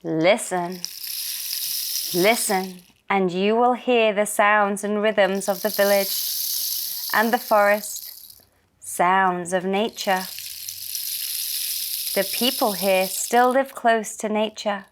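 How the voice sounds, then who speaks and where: A woman narrating a children's puppet-show story in simple, deliberate phrases. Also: mid-range voice, steady tone, background noise.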